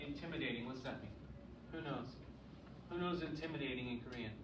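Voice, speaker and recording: A man's voice: medium pitch, brisk. A man teaching a grade school English class, often checking understanding with short questions. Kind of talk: classroom lesson with read-aloud. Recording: echoey, noisy room, distant mic.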